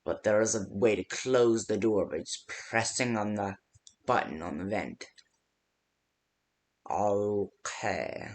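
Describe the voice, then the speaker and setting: A man playing a nervous, hesitant coworker who leaves stammered security tips by phone in an amateur horror game. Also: lowish voice. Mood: hesitant, explaining. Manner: hesitant, halting, stammering delivery. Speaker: voice actor in fan-made game.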